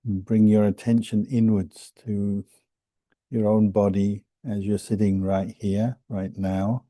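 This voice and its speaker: A man guiding a meditation as a monk and teacher, speaking calmly and gently with unhurried pauses between phrases. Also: fairly low pitch, dry recording. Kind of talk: guided meditation instruction.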